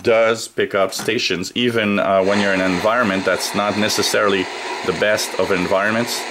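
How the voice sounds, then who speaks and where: A man giving a casual hands-on gadget review, explaining things plainly and haltingly as the reviewer. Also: fairly low pitch. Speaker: product reviewer. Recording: noisy home recording, steady background noise.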